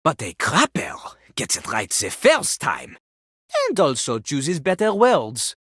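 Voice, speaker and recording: Pompous, swooping French rap-master character. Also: female voice, sits low, huge pitch swings, slow, a bit bright, slightly rough, no room sound, studio quality, exaggerated voice. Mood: haughty lecturing. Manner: pompous, speaks of himself in third person, dismissive. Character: vain, scornful French toilet who fancies himself a rap master. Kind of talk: theatrical video game character acting.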